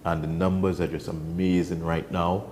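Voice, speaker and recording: Trinidadian man, wildlife guest, low and mellow, enthusiastic storyteller. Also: little pitch movement, moderate pace, slight background noise. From Trinidad and Tobago. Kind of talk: casual studio chat. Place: TV studio.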